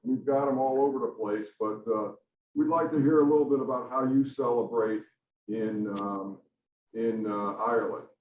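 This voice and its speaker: A man's voice: medium pitch, normal speed. A man hosting a club meeting, rambling and hesitant with many restarts. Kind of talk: casual introduction at a club meeting. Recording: echoey room, voice far from mic, dull.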